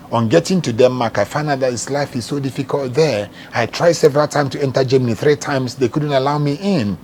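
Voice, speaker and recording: A man's voice: medium pitch, slight background noise. A middle-aged Nigerian man with a Nigerian accent, an evangelist telling his life story in earnest, plain words during an interview. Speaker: evangelist and charity founder, interview guest.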